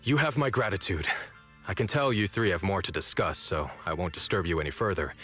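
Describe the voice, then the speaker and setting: A man's voice: sits low, brisk, a bit bright. A man voicing a courteous male wanderer who has lost his memory, speaking politely and formally in a video game. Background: faint game music.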